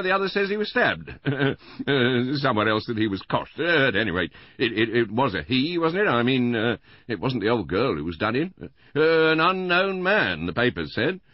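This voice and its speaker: A man voicing a gossipy middle-aged British husband in an audiobook, stammering, rambling and excitable. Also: mid-range voice, no echo, voice pushed up. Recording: slightly dull, lo-fi dry recording.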